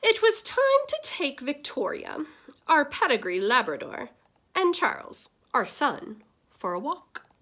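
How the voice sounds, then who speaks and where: A woman plays a snooty, bossy mother from a picture book. Her pitch is fairly high and swings freely as she takes offence, haughty and commanding. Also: very animated, unhurried, a bit bright, full character voice. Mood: haughty, annoyed.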